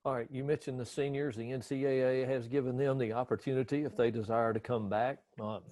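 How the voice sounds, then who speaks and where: A man's voice: medium pitch, steady tone, fairly quick, darkish tone, no echo. A man hosting a casual interview, folksy and chatty, with a joking manner. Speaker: interview host.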